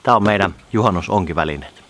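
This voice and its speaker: A man's voice: sits low, a bit bright. A man in his thirties casually explaining his plan to the camera, recorded outdoors by a lake on a camera mic. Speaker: vlogger.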